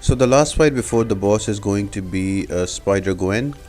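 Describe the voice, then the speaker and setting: A man with a somewhat low voice gives a casual gameplay tutorial. He talks viewers through the game as a guide creator, with faint music behind him. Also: normal speed, darkish tone.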